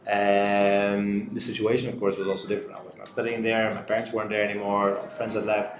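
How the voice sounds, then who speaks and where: Low-voiced man in his thirties, echoey room. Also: flat delivery, a little fast. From grew up in Singapore. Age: 30s. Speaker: show co-host. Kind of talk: casual conversational discussion. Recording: roomy echo, light background noise.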